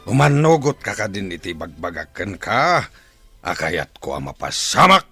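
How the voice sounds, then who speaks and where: A man playing a cruel, taunting captor chief in a radio drama, gravelly and deliberate. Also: low voice, sing-song, sharp tone, no room sound, faint music behind, heavily acted. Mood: taunting threat. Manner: taunting, slow and deliberate, laughing mockingly. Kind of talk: Filipino radio drama acting.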